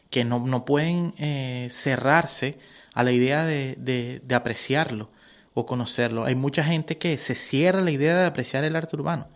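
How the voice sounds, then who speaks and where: A man being interviewed in a radio studio as a guest artist, talking casually and often asking if he is understood. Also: mid-range voice. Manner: casual, keeps asking "you know?". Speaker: guest artist, designer and tattoo artist.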